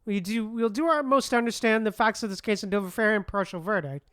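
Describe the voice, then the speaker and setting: A woman's voice: medium pitch, racing, fairly bright tone, dry recording, clean studio sound. A woman streaming a game, casually commenting and reading dialogue aloud, correcting slips as they come.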